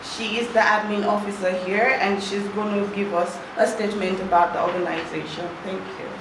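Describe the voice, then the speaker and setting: A woman master of ceremonies introducing speakers at a workshop, brisk and friendly with the young audience. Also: mid-range voice, level tone. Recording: echoey hall from far off, noisy.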